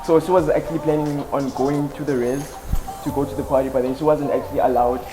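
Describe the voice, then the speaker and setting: A man's voice: on the high side, steady tone, fast talker, rough recording. An eighteen-year-old man, a first-year law student, answering interview questions casually and hesitantly, with many ums and restarts. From South Africa (Eastern Cape). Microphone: headset. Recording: background music under voice.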